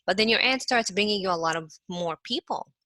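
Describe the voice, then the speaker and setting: A woman's voice: medium pitch, a little fast, bright, forceful tone. A woman from Egypt, giving a casual lecture as an instructor. She explains with everyday examples and keeps checking in with her listeners. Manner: talks to listeners as "you" and checks in with "right?"; frequent fillers and restarts. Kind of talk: lecture to a class.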